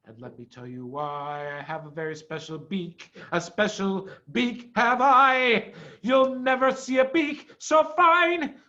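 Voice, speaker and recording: A man's voice: high-pitched, slow pace, sharp tone, full character voice. A man voicing a big, hungry, boastful pelican in a storybook, with a theatrical singsong delivery. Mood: proud, singsong. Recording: dull, muffled sound.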